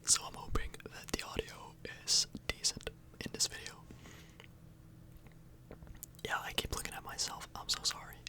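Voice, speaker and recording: A woman speaks entirely in a soft, breathy whisper. She rambles casually in an ASMR style, hesitating and correcting herself as she chats to her viewers. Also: fairly quick. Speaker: ASMR YouTuber, host. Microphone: microphone a little further away than usual.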